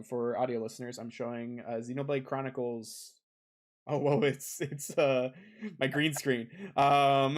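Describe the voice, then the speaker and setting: A man co-hosts a laid-back gaming podcast in an ordinary mid-range voice. He rambles and fills his pauses with ums. He is recorded close on a desk condenser mic at a home setup. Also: mid-range voice. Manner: rambling, heavy on filler ums. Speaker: podcast co-host. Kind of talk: casual podcast chat.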